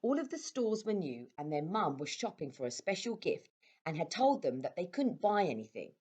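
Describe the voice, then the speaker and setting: A woman reading a children's story aloud as a storyteller, with expressive delivery. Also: on the low side, normal speed, slightly rough. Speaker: storyteller at a primary school.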